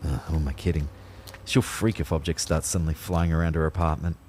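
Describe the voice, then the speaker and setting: A low-pitched young man played by an actor narrates his strange afterlife in an audio drama. He is wry and a little uneasy, with a hesitant, conversational delivery. Noticeable ambient noise sits behind the voice. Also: flat delivery, brisk, darkish tone. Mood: reflective. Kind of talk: first-person audio drama narration and dialogue.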